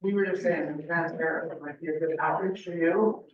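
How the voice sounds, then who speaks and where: A man's voice: fairly high pitch, fairly even intonation, brisk. A man with a big, carrying voice, informally relaying a question from the audience. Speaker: audience member relaying another person's question. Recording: muffled, echoey room, far from mic.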